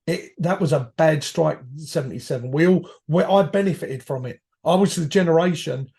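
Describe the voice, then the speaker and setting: Man, fifties, gravelly croaky voice, slightly high for a man, rambling storyteller, hoarse from a cold. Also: normal speed, a little mellow. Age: 50s. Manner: rambling with frequent restarts and self-corrections. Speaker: podcast guest, retired firefighter. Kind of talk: reflective conversational storytelling.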